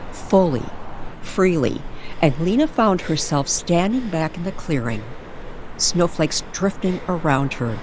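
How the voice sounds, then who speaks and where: A woman reading dramatic scripted narration in an old-time radio storytelling style, deliberate and suspenseful, with long pauses. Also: sits low, background noise. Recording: music behind the voice.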